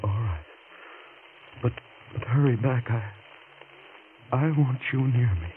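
An actor playing a frightened, needy husband, injured and in pain, halting and pleading in a 1940s radio drama. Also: male voice, medium pitch, expressive. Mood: fearful, pleading. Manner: halting, repeats words, pleading. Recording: scratchy, narrow-band 1940s radio recording.

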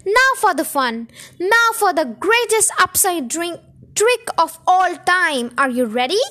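Woman acting bossy high-pitched father monkey. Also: very animated, unhurried, exaggerated voice. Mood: gleeful. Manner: shouting orders, impatient and snappy. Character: bossy, excitable father monkey leading a prank. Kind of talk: children's storybook character voice.

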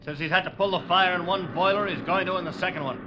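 An actor playing an anxious, blunt, dutiful junior ship's officer in a vintage radio drama, speaking in clipped phrases. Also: male voice, high voice, fast, close to an everyday voice. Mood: brisk, tense. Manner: clipped, crisp reporting. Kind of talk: 1940s-50s radio adventure drama acting. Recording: noisy, dull vintage radio recording.

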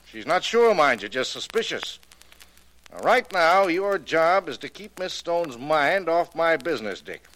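An actor playing a menacing, crooked boss in a 1940s radio drama, curt and threatening. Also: male voice, fairly high pitch, very animated, unhurried, fairly bright tone, low-quality recording.

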